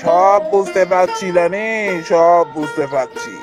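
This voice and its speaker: A man's voice: sits high, measured pace, over music. A man voicing a proud, firm king in a fairytale cartoon, speaking with emphatic authority. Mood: stern, knowing. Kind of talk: Bengali fairytale cartoon voice acting.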